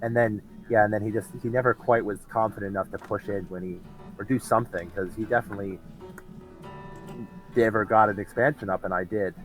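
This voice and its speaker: A man with a gravelly, hoarse voice from a cold, talking casually and hesitantly about the games he won. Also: fairly low pitch, steady tone, brisk, soft background music, low-quality recording. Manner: hesitant, restarts often. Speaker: tournament winner, interviewee. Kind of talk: casual post-match chat.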